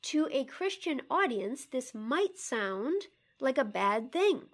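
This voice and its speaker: A woman's voice: sits high, sing-song, slow. A woman co-hosting a poetry discussion, speaking in a careful, articulate way as she reads closely and poses questions. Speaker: podcast co-host.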